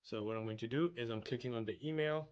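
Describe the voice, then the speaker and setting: A man's voice: mid-range voice, fast talker, darkish tone, smooth, dull audio. A young man from South Africa talking casually through an online application, thinking aloud with frequent ums. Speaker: YouTuber walking through an application. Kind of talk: casual screen-share walkthrough.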